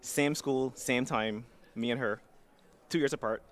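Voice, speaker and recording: A man's voice: medium pitch, a little slow. A man in his thirties from Barbados, chatting casually and playfully as a podcast guest. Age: 30s. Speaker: podcast guest, software engineer. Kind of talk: casual chat.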